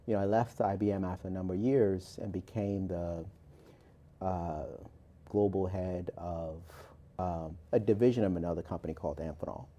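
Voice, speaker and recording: A deep-voiced man from Barbados in his forties, answering as a guest author. His tone is very warm and relaxed, and he talks in a measured, thoughtful way with lots of small pauses. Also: dull audio. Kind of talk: interview answers.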